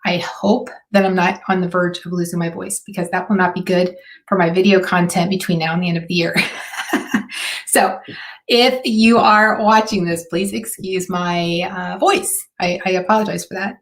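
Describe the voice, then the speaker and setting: A woman hosting a live marketing stream, her voice hoarse and raspy, talking in a chatty way with many fillers. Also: on the low side, fairly even intonation, normal speed, slight background noise. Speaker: host.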